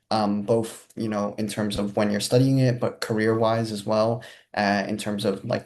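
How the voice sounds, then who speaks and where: A young man who grew up in Hong Kong, a second-year student explaining his choice in a measured way over a video call. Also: on the low side, darkish tone. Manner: measured, uses "like". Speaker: student panelist.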